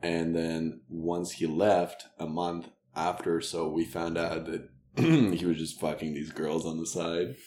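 A man with Russian as his first language, hosting a podcast in casual chat, joking and laughing often as he tells halting stories. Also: low-pitched, fairly even intonation, a little mellow, echoey room, a little hiss or hum. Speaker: podcast host.

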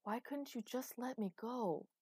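A woman's voice: mid-range voice, expressive, takes it slowly, dark tone, no echo, clean studio sound, pitched above the performer's own voice. A woman voicing an anguished, angry warrior man with a remade metal body in a storybook narration.

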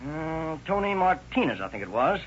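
An actor playing a gossipy country neighbor, hesitant and folksy, in 1930s radio drama style. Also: male voice, fairly high pitch, big pitch swings, normal speed, slight background noise. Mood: gossipy, helpful. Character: rambling, gossipy male country neighbor witness. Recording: muffled vintage radio recording.

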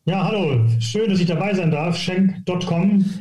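A man in his sixties from near Hamburg, a golf coach telling lively, self-ironic anecdotes as a podcast guest. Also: high voice, moderate pace, a little hiss or hum. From northern Germany, near Hamburg. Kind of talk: casual interview storytelling. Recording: roomy echo.

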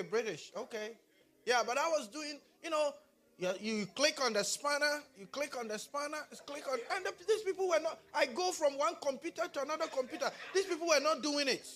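A man from Ghana with a Ghanaian accent, preaching a sermon and talking back and forth with his congregation. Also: very high voice. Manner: animated, talks with the congregation. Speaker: pastor.

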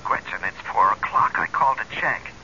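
An actor playing an impatient husband, brisk and insistent, through a telephone filter in a radio drama. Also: male voice, lowish voice, lively intonation. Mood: checking up. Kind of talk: 1970s radio drama acting. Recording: dull, faintly hissy radio recording, phone filtered.

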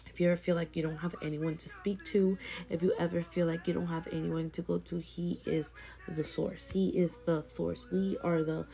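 A woman's voice: on the low side, little pitch movement, moderate pace, gravelly, warm tone. A woman in her twenties giving a casual, earnest pep talk to her viewers, repeating key phrases for emphasis. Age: 20s. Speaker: vlogger. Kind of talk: casual motivational talk to camera.

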